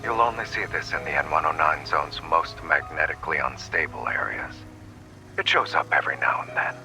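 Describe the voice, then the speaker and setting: An actor playing a cool, teasing, protective male gang leader in a romance game, unhurried and self-assured. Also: bright, forceful tone, a little hiss or hum, exaggerated voice, low-quality recording. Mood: calm, reflective. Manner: unhurried, low-key, smoothly teasing.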